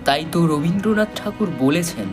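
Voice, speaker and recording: A man narrating a scripted story solemnly and dramatically, with measured pauses. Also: on the high side, over music.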